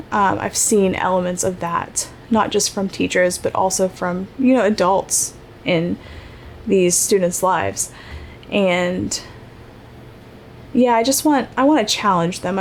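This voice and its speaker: A woman's voice: mid-range voice, slight background noise. A young woman from Ohio hosting a travel podcast in a casual, rambling monologue with many fillers and restarts. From Ohio, United States. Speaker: podcast host.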